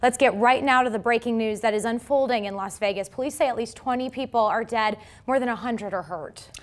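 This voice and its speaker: Higher-pitched female morning news anchor. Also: a little fast.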